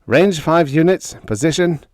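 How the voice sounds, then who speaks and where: A man's voice: fairly high pitch, lively intonation, unhurried, darkish tone, dry recording, clean studio sound, voice pushed up. A man voicing an eager, dutiful junior officer, reporting in a clipped, earnest way in an audiobook story. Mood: tense, clipped. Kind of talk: dramatised audiobook character dialogue.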